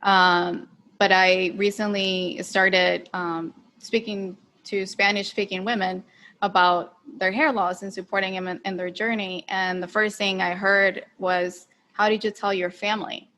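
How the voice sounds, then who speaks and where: A woman's voice: mid-range voice, flat delivery, unhurried, bright, forceful tone, slight background noise. A woman from Colombia hosting a casual interview, talking personally and hesitantly, often correcting herself as she goes. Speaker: interview host.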